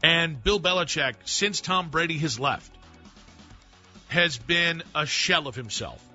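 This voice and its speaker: A man on sports talk radio, building a measured argument and citing stats. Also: on the high side, sharp tone. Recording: slightly dull radio broadcast with faint music.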